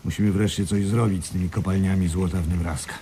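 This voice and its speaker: An actor playing an impatient, money-minded swindler in a radio play. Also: male voice, sits low, steady tone, fast talker, mellow. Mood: impatient, complaining. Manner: pressing, impatient. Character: impatient, money-minded male partner in a crooked firm. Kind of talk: 1980s Polish radio play acting. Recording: noisy vintage radio drama recording.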